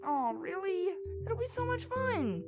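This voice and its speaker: An adventurous, pushy young pony voiced by a woman for a cartoon. The voice sits high and sounds eager and brash. Music plays clearly underneath. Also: very animated, measured pace, heavily acted. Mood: excited, coaxing. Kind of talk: animated fan drama voice acting.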